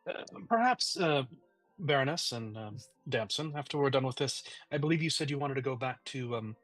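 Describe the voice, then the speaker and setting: A man's voice: mid-range voice, animated, normal speed, a bit bright, dry recording. A man playing at a tabletop game, talking casually and enthusiastically. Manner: enthusiastic, effusive. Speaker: player. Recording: dry mic with faint music behind.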